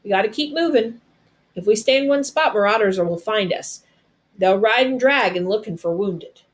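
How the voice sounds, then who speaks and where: A man's voice: sits high, moderate pace, natural voice. A woman plays a young wartime soldier boy in a storybook read-aloud. He is kind and determined, with plain folksy country phrasing. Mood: urgent, caring. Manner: rural dialect, folksy phrasing.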